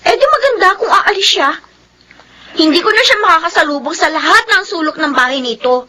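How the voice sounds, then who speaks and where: An actress playing a bitter, wounded daughter in a melodramatic Filipino radio drama, emphatic and tearful. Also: female voice, very high-pitched, lively intonation, a little fast, bright, forceful tone, exaggerated voice. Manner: tearful, emphatic, indignant delivery. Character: bitter, wounded daughter Nelly who resents her mother.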